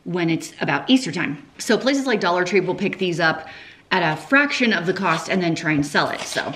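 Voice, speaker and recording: A woman hosting a casual home cooking vlog, chatty and quick to laugh at herself, in a home kitchen. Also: lowish voice, lively intonation, a bit bright.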